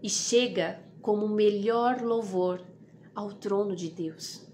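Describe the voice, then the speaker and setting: A woman's voice: mid-range voice, takes it slowly, darkish tone, slight background noise. A woman with a Brazilian Portuguese accent giving an informal devotional sermon, exhorting earnestly and repeating phrases for emphasis. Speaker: devotional host and preacher.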